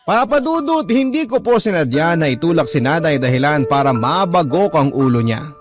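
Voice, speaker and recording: Remorseful Filipino son narrating over music. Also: male voice, a little high, sing-song, moderate pace. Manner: earnest, sorrowful, respectful. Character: remorseful grown son confessing his story. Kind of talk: first-person letter narration, Filipino radio drama. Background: clear background music.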